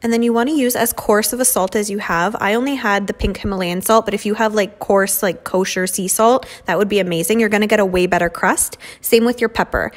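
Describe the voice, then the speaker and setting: A woman's voice: mid-range voice, steady tone, fairly quick, few pauses. A young woman in her twenties giving a casual, chatty cooking voice-over full of "um" and "like" fillers. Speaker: beauty vlogger cooking at home. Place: home kitchen.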